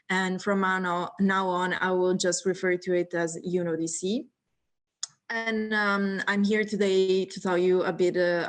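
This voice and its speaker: Italian-accented woman in her late twenties, guest on a video call, friendly and a little nervous. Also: fairly low pitch. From northeast Italy. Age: 20s. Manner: friendly, nervous laughs, many fillers. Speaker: guest speaker.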